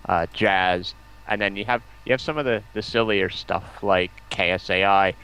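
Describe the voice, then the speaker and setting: A man with a fairly low voice talks casually as a guest on a gaming livestream. He bandies remarks in a halting way, often repeating the first words of a sentence. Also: fairly even intonation, bright, forceful tone, smooth, rough recording. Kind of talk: casual banter and chat.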